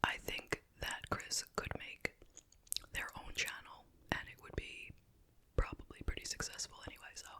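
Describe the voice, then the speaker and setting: A person whispering in a breathy, unvoiced voice, chatting casually and without hurry as an ASMR host during a meal. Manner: casual, unhurried rambling while eating. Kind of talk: whispered ASMR chit-chat mukbang.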